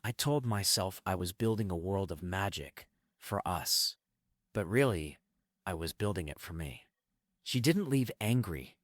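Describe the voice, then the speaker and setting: A man acts a wistful magician in a musical stage play. His voice is low and closely recorded with little room sound. He carries old grief, softened by gentle humor. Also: lively intonation, a little slow, dry recording, clean studio sound. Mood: tender, regretful. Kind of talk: script table read, musical play.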